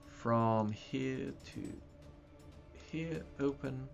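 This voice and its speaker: A man's voice: mid-range voice, extremely slow, gravelly. Rambling man on a lo-fi stream. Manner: rambling, stumbling restarts and repeated words. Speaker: livestream host showing map software. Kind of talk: casual livestream chat. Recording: dull lo-fi sound with faint music.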